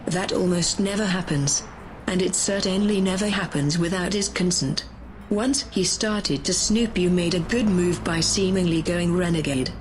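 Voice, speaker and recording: A woman's voice: fairly low pitch, normal speed, background noise, lightly acted. A woman playing a bossy, menacing, power-hungry conspirator in a sci-fi audio drama. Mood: scheming.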